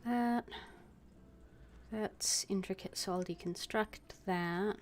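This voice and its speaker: A woman's voice: mid-range voice, slow, slight background noise. A woman streaming a video game, playing her character with dry, wry remarks. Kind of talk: casual gameplay commentary.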